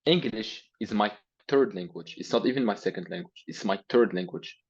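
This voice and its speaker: Young Arabic-accented man, interview guest, blunt and profane, husky and slightly muffled. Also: fairly low pitch, normal speed. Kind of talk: interview, motivational rant.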